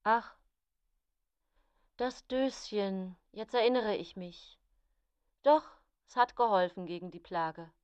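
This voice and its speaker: Actress as slow-speaking woman in period drama. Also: medium pitch, clear, resonant tone, no room sound, muffled recording, close to an everyday voice. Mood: guarded. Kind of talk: radio play dialogue.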